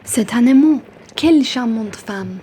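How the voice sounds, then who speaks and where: A woman acting a husky genteel society lady. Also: on the high side, animated, measured pace, dark tone. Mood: admiring. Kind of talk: French radio play acting.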